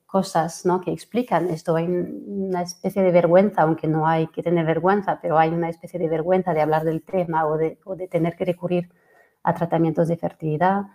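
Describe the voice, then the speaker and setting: A woman's voice: fairly low pitch, dark tone. A French woman in her forties, a fertility coach giving a webinar talk over a video call, hesitant with many fillers and restarts. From France. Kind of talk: webinar presentation.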